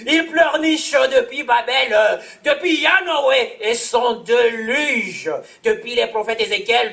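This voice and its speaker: High, gravelly man performing poetry. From Congo. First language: Swahili. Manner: theatrical, shouting and laughing between verses. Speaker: poet reading own work.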